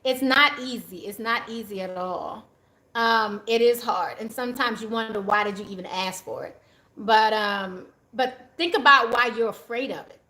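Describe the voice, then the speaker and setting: A woman's voice: a little high, moderate pace, pushed and bright, echoey room. A woman giving a casual, chatty tarot reading to her viewers, laughing often as she goes. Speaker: tarot reader.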